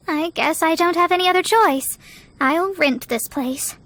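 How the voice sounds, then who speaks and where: A woman's voice: very high-pitched, slow, a bit bright, a little hiss or hum, exaggerated voice. A woman playing a proud, melodramatic young astrologer with haughty, formal phrasing, in an anime-style video game. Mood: dismayed. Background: music.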